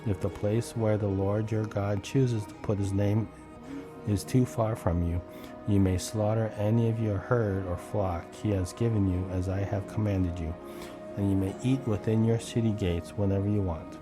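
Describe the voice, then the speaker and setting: Low-voiced man reading scripture over music. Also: fairly even intonation, moderate pace. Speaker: scripture reader. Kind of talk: Bible reading, scripted narration.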